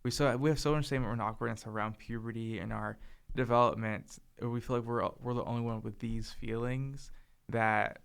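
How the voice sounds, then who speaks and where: A young man co-hosting a casual chat, soft-spoken and hesitant, filling his talk with "like" and "um". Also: medium pitch, steady tone, moderate pace, creaky, clear, resonant tone. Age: young adult. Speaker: podcast co-host.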